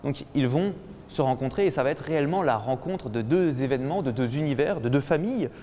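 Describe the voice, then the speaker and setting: A man giving an improvised spoken performance in an exhibition space, talking slowly and reflectively with many pauses. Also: mid-range voice, expressive, mellow. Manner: slow, musing, reflective delivery with many pauses. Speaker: performance artist. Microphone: camera mic.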